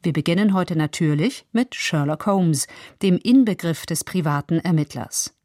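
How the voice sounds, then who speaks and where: A woman's voice: on the low side, normal speed, a little mellow, no room sound, clean studio sound. A woman radio presenter giving a scripted introduction in an articulate, composed way.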